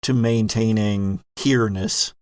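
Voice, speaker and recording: A man's voice: fairly low pitch, fairly even intonation, takes it slowly, a little mellow, clean tone, no echo, studio quality. A man working as game master, narrating a tabletop game in an improvised, descriptive style that builds scenes in lists.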